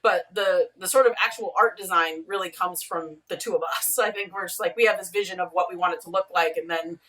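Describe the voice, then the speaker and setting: A woman's voice: on the low side, fairly even intonation, a little fast, a bit bright, recorded from a distance, low-quality recording. A woman chatting casually as an interview guest over a video call, explaining things in a chatty, easygoing way. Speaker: podcast creator and showrunner, interviewed guest. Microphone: video call mic.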